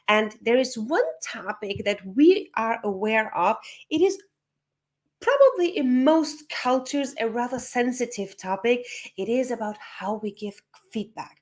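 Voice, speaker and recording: A woman hosting a live online interview, introducing a topic and guest and asking questions. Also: a little high.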